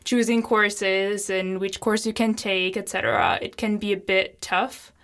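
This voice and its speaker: A woman's voice: medium pitch, a little slow. A young woman from Germany and Japan, a student guest chatting easily on a talk show, laughing now and then. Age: young adult. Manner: relaxed, laughs while talking, quotes others in lively voices. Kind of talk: casual talk show chat.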